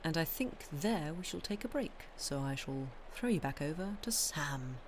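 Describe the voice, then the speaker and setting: A woman's voice: low-pitched, expressive, moderate pace. Woman narrating a story, noisy background. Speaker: story reader on a live stream. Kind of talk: expressive literary narration.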